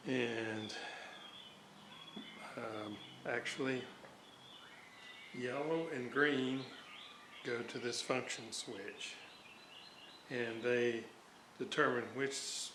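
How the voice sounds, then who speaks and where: Slow-talking man in an echoey room. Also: mid-range voice, sharp tone. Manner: halting, thinking aloud. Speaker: hobbyist radio restorer narrating his repair. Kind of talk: unscripted workbench walkthrough. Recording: roomy echo, background noise, uneven level.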